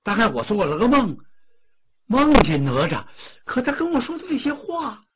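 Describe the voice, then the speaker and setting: A man's voice: extremely high pitch, wildly swooping pitch, normal speed, narrow, tinny audio, noisy background, voice pushed up, full character voice. A man voicing Lady Yin, an anxious noble mother who talks in a worried, pleading way. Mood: troubled.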